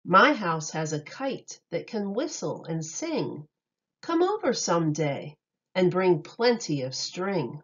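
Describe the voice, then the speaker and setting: A woman reading a rhyming picture book aloud in an expressive, sing-song way meant for young children. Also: lowish voice, slow pace, lo-fi sound.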